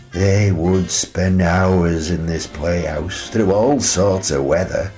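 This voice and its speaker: A male actor playing a wistful retired teacher and grandfather in his sixties, telling his story in a reflective, measured radio play monologue with pauses between phrases. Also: low-pitched. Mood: fond, nostalgic.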